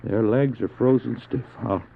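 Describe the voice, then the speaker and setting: A man's voice: mid-range voice, creaky. Man acting a devout stranded missionary, tinny cassette drama. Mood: desperate urgency. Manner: solemn, reverent, earnest, deliberate dramatic phrasing. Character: devout male missionary stranded on drifting ice, recounting his ordeal. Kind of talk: audio drama acting with narrated recollection and prayer. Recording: muffled phone-like old cassette drama, music.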